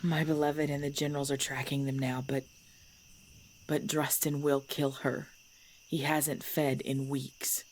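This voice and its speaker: A woman playing an ancient, composed vampire in a supernatural ASMR drama, speaking in measured, formal, deliberate phrases. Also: low-pitched. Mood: grave, urgent. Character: ancient female vampire, composed, knowing, darkly playful. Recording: steady background noise with chirping crickets.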